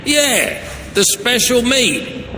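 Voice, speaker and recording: A man playing an excitable, whiny dwarf smith in a video game, given to dramatic complaining. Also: very high voice, very slow, slight background noise, heavily acted. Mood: earnest, fretful.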